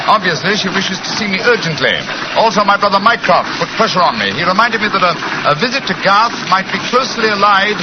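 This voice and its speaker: Posh-mannered british gentleman sleuth, teasing, high quick voice, 1940s radio acting, really noisy old recording. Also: animated, muffled recording. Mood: brisk, urbane. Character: brisk, assured English gentleman detective, gently teasing.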